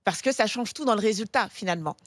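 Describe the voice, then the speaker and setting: Quick, chatty forties female panelist; dry, close studio mic. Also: mid-range voice, lively intonation, no echo. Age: 40s. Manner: fast, chatty, with restarts and laughs. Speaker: talk show panelist, sports coach. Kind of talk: talk show questioning.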